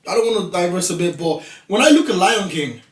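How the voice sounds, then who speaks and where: A man's voice: high voice, expressive, quick pace. A man from Nigeria, talking in a casual group discussion as a talk show guest. He is assertive and talks over others to make his point. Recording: roomy studio, voice heard from a distance.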